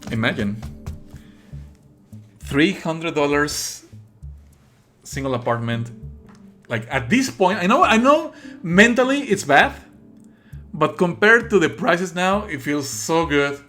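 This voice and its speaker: A man's voice: on the high side, animated, slow pace, pauses often, a bit bright, soft background music. A man whose native language is Spanish, giving sardonic, exasperated live commentary as he streams a game. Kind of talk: live let's play commentary.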